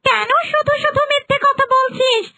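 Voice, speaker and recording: Piercingly high, bright possessed young wife. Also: female voice, fairly even intonation, moderate pace, vocal fry, no echo, full character voice. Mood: angry, threatening. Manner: imperious, threatening. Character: young wife possessed by a bossy, menacing ghoul. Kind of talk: children's cartoon dialogue.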